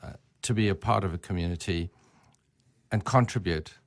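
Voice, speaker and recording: A man being interviewed on the radio, speaking formally with ornate, deliberate wording. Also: low voice, vocal fry, dry recording. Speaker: interview guest, winery business owner.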